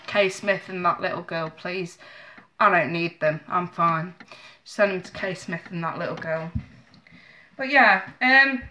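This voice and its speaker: Bright-voiced congested woman. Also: mid-range voice, slight background noise. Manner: chatty, emotional, apologetic. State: stuffy, congested nose. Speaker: tarot reader streaming live. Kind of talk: casual live-stream chat. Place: small home office in the evening. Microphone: webcam at desk.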